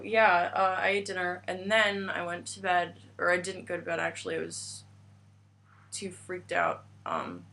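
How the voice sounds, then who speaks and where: A woman's voice: lowish voice, creaky, fairly bright tone. A young American woman telling a rambling travel story in a casual vlog, full of fillers and restarts. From United States. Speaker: vlogger.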